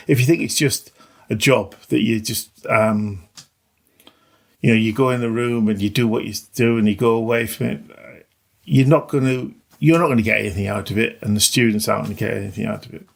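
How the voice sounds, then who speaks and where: A man's voice: lowish voice, a little fast, creaky, breathy edge. A middle-aged or older man, a teacher, giving casual, reflective interview answers in a hesitant, self-effacing way. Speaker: maths teacher.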